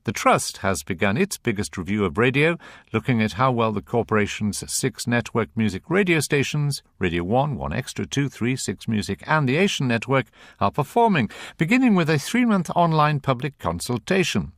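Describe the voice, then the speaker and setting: A man's voice: mid-range voice, very animated, moderate pace, no echo, studio quality. A man presenting a radio programme, reading scripted links and putting questions to interviewees.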